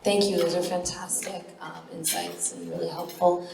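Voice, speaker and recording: A woman's voice: on the low side, fairly even intonation, moderate pace, darkish tone, soft background music. A woman moderating a live panel in a polite, slightly hesitant way. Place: large event hall. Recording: echoey hall, distant mic.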